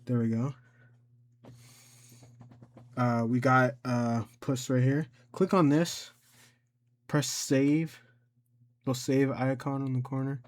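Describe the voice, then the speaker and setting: A man gives an informal computer tutorial in a mid-pitched voice. He talks off the cuff and haltingly, often repeating a step or correcting his own wording as he goes. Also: fairly even intonation. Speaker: tutorial creator. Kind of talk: casual unscripted screen-recorded tutorial. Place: voice-over for a screen recording.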